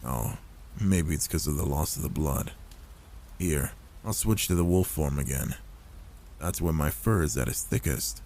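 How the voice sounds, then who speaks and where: Man playing a low-voiced gentle werewolf loner. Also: animated, a little hiss or hum, exaggerated voice. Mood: tender, soothing. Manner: soothing, paternal, unhurried reassurance. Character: older werewolf man, gruff but gentle, protective loner. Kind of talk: ASMR roleplay, soft intimate character acting.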